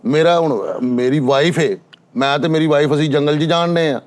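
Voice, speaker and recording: A man's voice: a little high, normal speed. A man with a Pakistani Punjabi accent telling tales casually as a panellist, insistent and boastful. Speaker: talk show panellist. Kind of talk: casual storytelling.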